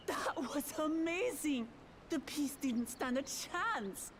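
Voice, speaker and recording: Brief: bold cat-folk warrior girl, hearty, lively and a bit high, noisy game audio. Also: full character voice. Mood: thrilled. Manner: hearty, boisterous. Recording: game audio with clear noise.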